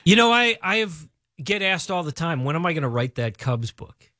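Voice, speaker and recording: A male co-host on sports radio with a slightly higher voice, heard in a dry studio sound. He tells anecdotes and cuts off his partner's tangents with dry humor. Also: big pitch swings, moderate pace, bright, forceful tone, no echo. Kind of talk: casual radio banter. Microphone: studio microphone.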